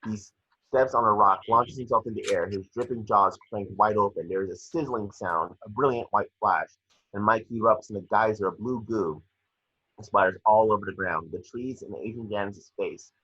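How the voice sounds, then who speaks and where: Low, muffled man narrating a script. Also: normal speed, bright, forceful tone, breathy edge. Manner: matter-of-fact, with stumbles over words. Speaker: narrator reading action descriptions. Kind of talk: scripted narration at a table read.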